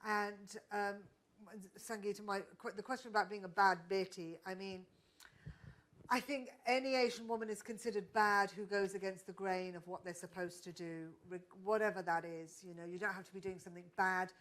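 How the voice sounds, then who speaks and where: A woman's voice: medium pitch, steady tone, normal speed, fairly bright tone. Woman, stand-up comic, live panel guest, candid, reflective, restarts often. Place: stage at a live podcast event.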